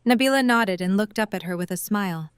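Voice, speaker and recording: A woman's voice: medium pitch, a little fast, clean tone, no room sound. A woman reading scripted third-person story narration for an audio drama.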